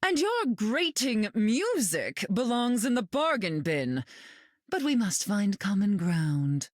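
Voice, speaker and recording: A woman's voice: mid-range voice, very animated, slow, dry recording, clean studio sound. An actress playing a glamorous, cutting mutant queen in a video game, arch, flirtatious and condescending in manner. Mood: haughty.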